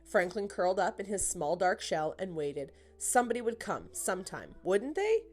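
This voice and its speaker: A woman's voice: mid-range voice, big pitch swings, normal speed. Woman reading a children's storybook over music. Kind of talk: scripted storytime narration.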